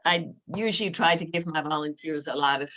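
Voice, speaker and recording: A woman's voice: low voice, brisk, a bit bright. A woman who teaches adult English learners, sharing classroom experience in a friendly, anecdotal way. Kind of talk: casual discussion among teachers.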